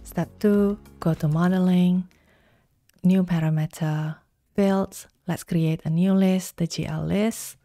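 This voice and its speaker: A woman talks through a software tutorial in calm, step-by-step instructions. Her voice is mellow and low, unhurried, recorded dry and close. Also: no room sound, faint music behind. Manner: calm step-by-step instructions, slow deliberate pacing.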